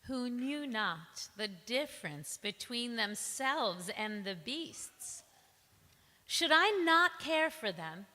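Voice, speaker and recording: Female reader for dramatic spoken word: bright, forceful, theatrical storytelling, big hall reverb. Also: a little high, very animated. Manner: deliberate, theatrical storytelling delivery. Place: large reverberant temple hall.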